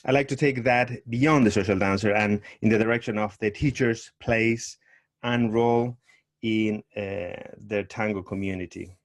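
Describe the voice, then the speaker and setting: A middle-aged man from Argentina, a tango teacher speaking over a video call in a measured, thoughtful way with frequent pauses. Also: lowish voice, steady tone. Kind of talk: online summit interview, presenting.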